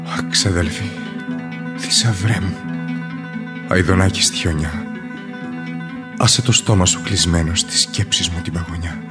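An ardent young male lover, played by an actor, with a deep voice, music behind him. He is solemn and devoted, delivering lyrical verse in declamatory radio drama style. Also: long pauses, lightly acted. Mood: ardent, sorrowful. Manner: declamatory, poetic.

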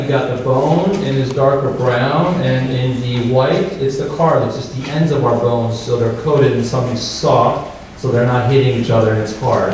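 A man's voice: mid-range voice, a little mellow. A man in his thirties from Albania, a surgeon giving an informal, conversational medical talk and often checking in with listeners. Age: 30s. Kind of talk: informal medical presentation to a live audience. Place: hotel-style meeting room with seated audience. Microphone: handheld mic, picked up from far off by an audience camera. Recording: distant, very echoey room with faint noise.

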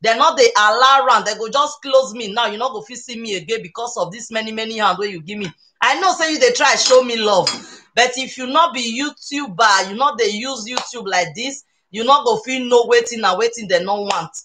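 A woman's voice: fairly high pitch, a little fast, noisy background. A woman with a Nigerian accent hosting a casual cooking live stream from her home kitchen, chatting playfully and exuberantly and often repeating phrases. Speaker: cooking show host. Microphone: webcam or phone camera at arm's length to a few steps away.

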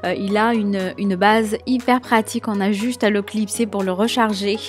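A woman's voice: medium pitch, steady tone, moderate pace, soft background music. A young woman narrating a cleaning video voice-over, sharing tips with enthusiasm and frequent hesitations. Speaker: home cleaning vlogger.

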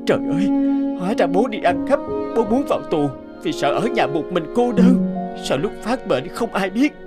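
A man's voice: high voice, expressive, moderate pace, loud music behind the voice. A man voicing an anxious, remorseful grown son in a cartoon, with a worried, pleading manner.

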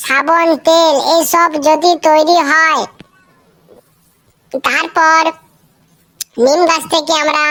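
A performer voices an eager young pupil in a comedy cartoon. The voice is very high and childlike. Delivery is earnest and halting, like a classroom recitation. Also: fairly bright tone.

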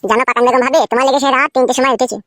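Woman voicing a scheming village lad, wheedling, rustic talk, rapid, dry close sound. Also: high voice, steady tone, fairly bright tone, dry recording. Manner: wheedling, rustic dialect speech. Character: sly scheming young village man, go-between. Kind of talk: cartoon voice acting.

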